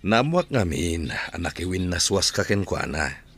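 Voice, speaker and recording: A man's voice: low-pitched, big pitch swings, a little slow, a bit bright, husky, heavily acted. An actor with an Ilocano Filipino accent playing an earnest man giving counsel in a radio drama. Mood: earnest, consoling.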